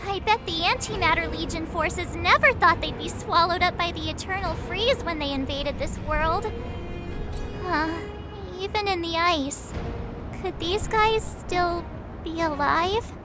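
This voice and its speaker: A woman's voice: very high-pitched, unhurried. An actress playing a curious, perky teenage girl adventurer in a video game, chatty and wondering aloud. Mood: curious, musing. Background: music.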